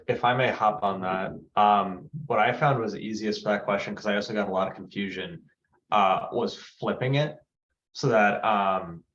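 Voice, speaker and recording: A low-pitched man talks through an approach in a casual, halting way. The meeting audio is dull and lo-fi. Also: fairly bright tone. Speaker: interviewer in training meeting. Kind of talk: casual explanatory discussion.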